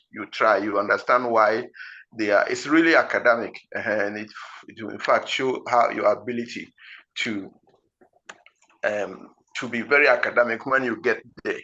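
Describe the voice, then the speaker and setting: A man with a Ghanaian accent, an English test teacher, explaining slowly and deliberately, pronouncing each word one after another with frequent fillers. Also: medium pitch, pushed and bright, muffled recording. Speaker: IELTS teacher.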